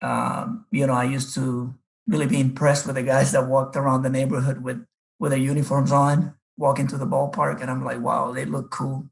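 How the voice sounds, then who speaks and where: A man's voice: mid-range voice, level tone, normal speed, vocal fry, low-quality recording. A middle-aged man from the Republic, a baseball coach telling his life story unhurriedly in an interview, with many fillers. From Dominican Republic.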